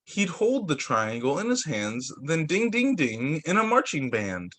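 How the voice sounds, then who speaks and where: A young man who teaches young children, reading picture books aloud in a sing-song, expressive way over a video call. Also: on the high side, a little slow. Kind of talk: read-aloud story time for young children.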